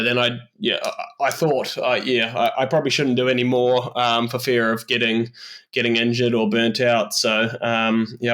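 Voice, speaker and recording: A man's voice: mid-range voice, a bit bright. A man in his thirties with a New Zealand accent, answering interview questions in an easygoing, hesitant way full of restarts. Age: 30s. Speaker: guest athlete.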